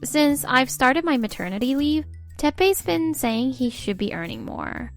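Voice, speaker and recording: A woman's voice: high voice, very animated, unhurried, vocal fry, soft background music, heavily acted. A woman voicing a weary, timid young mother and nurse narrating her home life in a scripted manga dub. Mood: weary, frustrated.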